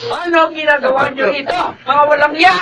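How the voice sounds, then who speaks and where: A woman's voice: high-pitched, fairly even intonation, brisk, a crowd in the background, close to an everyday voice. A woman playing a bitter, vengeful middle-aged widow in a radio drama, scolding harshly and tearfully. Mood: grieving rage. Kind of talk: melodramatic radio drama acting.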